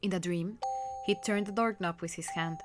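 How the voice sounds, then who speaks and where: A woman reading a visual novel aloud as scripted dramatic narration, measured and somber, with music behind her. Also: on the low side, fairly even intonation.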